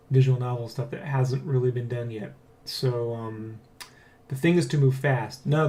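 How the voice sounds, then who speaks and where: A man hosting a casual dev blog, talking in a rambling, excitable way and often checking for agreement. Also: mid-range voice. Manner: rambling, keeps seeking agreement with "right?" and "you know?". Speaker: dev blog host and comic artist. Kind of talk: casual dev vlog chat, addressing viewers and co-worker.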